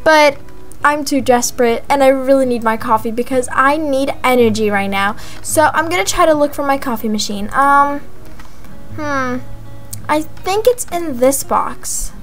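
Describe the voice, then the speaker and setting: A young woman voicing a chatty, excitable young mother in a gaming roleplay vlog, gushing and drawing out words for emphasis. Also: high voice, measured pace. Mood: eager. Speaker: video creator voicing her game character. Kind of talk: voiced life-simulation roleplay vlog narration. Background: background music.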